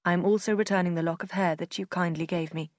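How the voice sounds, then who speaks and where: A woman voicing a cold, formal young gentleman as she reads his letter in an audiobook, with a detached manner. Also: fairly low pitch, fairly even intonation, fast talker, dry recording, clean studio sound, lightly acted. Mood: cool, formal.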